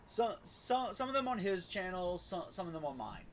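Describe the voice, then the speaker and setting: A man's voice: high voice, big pitch swings, normal speed, slight background noise. A man hosting a casual game livestream, often stumbling over and repeating his words. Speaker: game streamer, host. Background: faint game music.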